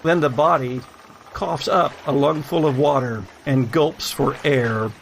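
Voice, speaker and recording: A man's voice: medium pitch, unhurried, gravelly, slight background noise, low-quality recording. A man narrating a scripted audio drama in a dramatic storytelling style. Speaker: narrator and game master.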